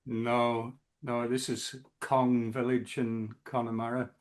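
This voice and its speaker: A man talking as a guest musician in a casual interview, halting often with fillers. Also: medium pitch, flat delivery. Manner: slow, halting, many fillers.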